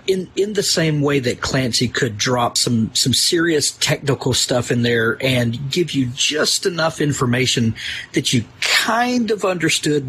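A man of average pitch conducts a conversational interview. He works his way toward questions in a hesitant, roundabout fashion, with faint noise behind his voice. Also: fairly bright tone, low-quality recording.

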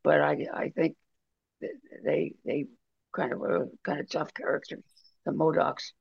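A man talking casually in a book discussion on a video call, halting and rambling as he goes. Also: on the high side, level tone, creaky, husky, no room sound. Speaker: book club member. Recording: dull lo-fi video call audio.

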